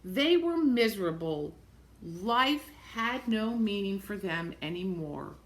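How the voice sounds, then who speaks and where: Woman, mid-pitched voice, slow pace, expressive children's storytime reading, simple repeated asides about the pictures. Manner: expressive, repeats phrases, points things out to children. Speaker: storybook reader for young children. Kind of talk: picture-book read-aloud with simple asides explaining the pictures.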